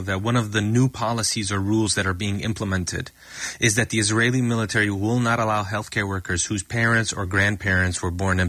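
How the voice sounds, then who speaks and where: A man's voice: on the low side, bright, forceful tone, no echo. A man from the United States with an American accent, giving articulate answers as an interview guest. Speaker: emergency physician, interview guest.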